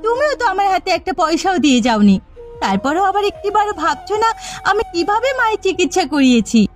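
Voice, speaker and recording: A woman playing a hurt, long-suffering young village wife in a cartoon melodrama, with quick, plaintive delivery. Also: extremely high pitch, big pitch swings, faint music behind. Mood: hurt, indignant. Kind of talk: melodramatic cartoon family comedy acting.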